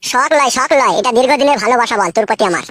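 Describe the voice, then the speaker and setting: An actor voicing a crude, pushy, foul-mouthed young man in a comic cartoon, with a pitched-up voice. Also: animated, very fast, heavy background noise. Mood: lecherous boasting. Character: crude, pushy, money-minded young male lover.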